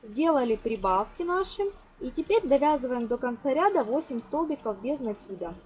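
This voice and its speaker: A woman's voice: high voice, expressive, normal speed, a little mellow. A woman giving a step-by-step craft tutorial in a reassuring, instructional way, often counting aloud. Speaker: crochet tutorial host. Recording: noisy home recording.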